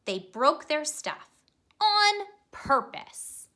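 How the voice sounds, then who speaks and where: A woman reading a children's picture book aloud, telling it expressively and pausing to ask young listeners questions. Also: high voice, sing-song, bright, forceful tone. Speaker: storybook reader.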